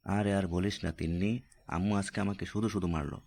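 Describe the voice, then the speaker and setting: A man playing a naive grown boy in a cartoon, with a deep voice. He talks colloquially and plaintively, like a son grumbling to a friend. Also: steady tone, fairly quick, gravelly, a little mellow. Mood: aggrieved. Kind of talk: casual cartoon dialogue.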